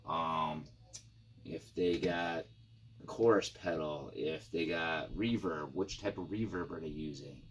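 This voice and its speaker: A man's voice: rumbling low, takes it slowly, breathy edge. A man reviewing guitar gear in a casual, informal and rambling product demo style with some enthusiasm.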